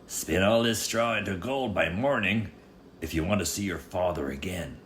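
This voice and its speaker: A man's voice: sits low, normal speed, a bit bright, echoey room, a little hiss or hum. A man voicing a greedy, commanding king in a storybook fairy tale. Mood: demanding, threatening. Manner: commanding, imperious. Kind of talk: storybook character voice.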